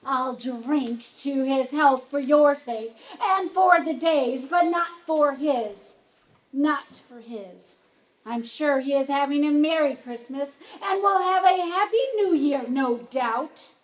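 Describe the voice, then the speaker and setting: An actress playing a sharp-tongued, indignant wife and mother in a radio play, pointed and sarcastic. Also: high voice, takes it slowly, rough recording. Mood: grudging, sarcastic. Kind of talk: live radio play acting.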